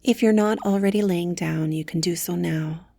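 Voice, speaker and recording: A woman leading a guided meditation with soft, gentle, soothing instructions, breathy and often close to a whisper. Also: on the low side, normal speed, no echo.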